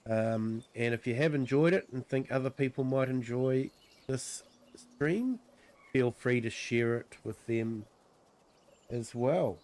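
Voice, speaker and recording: Croaky New Zealand man, unhurried and easygoing, streaming his gameplay. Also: mid-range voice, darkish tone. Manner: easygoing, lots of ums and uhs. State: croaky, with a cold. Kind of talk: relaxed livestream gameplay commentary.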